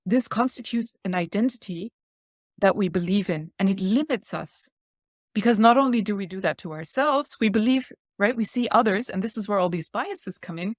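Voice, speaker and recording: A woman's voice: medium pitch, moderate pace, dry recording, clean studio sound. Woman in her forties explaining ideas earnestly. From Thailand. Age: 40s. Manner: earnest, explanatory, laughs lightly between points. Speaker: guest speaker. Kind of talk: reflective podcast talk.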